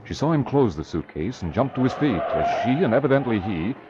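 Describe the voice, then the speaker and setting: A man's voice: mid-range voice, big pitch swings, fairly quick. A man narrating a scripted 1940s radio drama in dramatic style. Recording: muffled, noisy, crackly vintage radio recording.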